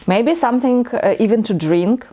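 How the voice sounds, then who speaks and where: A woman in her thirties from Ukraine, with a Ukrainian accent, talking about books to camera with careful pauses and fillers. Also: fairly high pitch, lively intonation, mellow. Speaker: book vlogger. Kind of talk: casual talk to camera.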